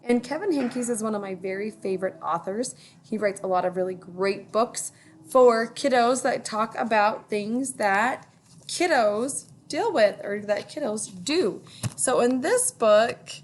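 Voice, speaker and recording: A woman teacher reading a picture book aloud to children, gently stopping to ask them questions. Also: medium pitch, a little hiss or hum. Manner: gentle, pauses to ask young listeners questions.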